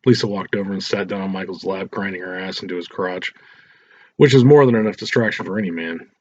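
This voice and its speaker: A man's voice: sits low, fast. A man narrating a scripted story as an audiobook reader. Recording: roomy echo, dull lo-fi sound.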